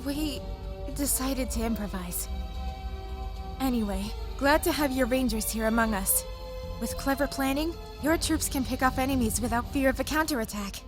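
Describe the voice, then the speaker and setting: A level-headed young commander played by a woman in a game fan dub. The voice is fairly high and determined, with clear music behind it. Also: moderate pace, lightly acted. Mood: composed.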